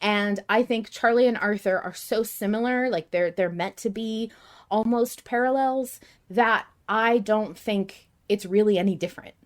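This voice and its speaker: An excitable woman hosts a casual fan podcast in a mid-pitched voice. She argues emphatically, piling up repeated phrases and restarts as she makes her case. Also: normal speed. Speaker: podcast host. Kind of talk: casual fan discussion, ranting.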